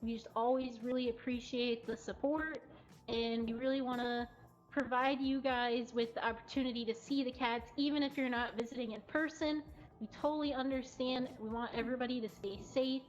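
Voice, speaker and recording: Higher-pitched woman hosting a casual livestream. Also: fairly even intonation, normal speed, dull audio. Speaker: cat cafe livestream host. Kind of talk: casual live showcase chat.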